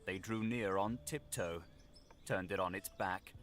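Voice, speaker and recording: A man narrating a gothic audiobook in a measured, literary, dramatic delivery. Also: deep voice, a bit bright, soft background music.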